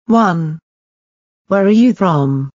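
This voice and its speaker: Lady reading test sentences really really slow, lowish voice, dull dry audio. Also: lively intonation, a little mellow, no room sound. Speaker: listening test reader. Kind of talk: scripted language-test reading.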